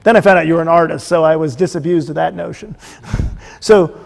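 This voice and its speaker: Guy hosting an art chat, high raspy voice, tells stories all animated, echo from a big room. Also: brisk. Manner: animated storytelling with lively gestures. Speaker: host. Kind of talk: casual interview chat. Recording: long reverb of a big room.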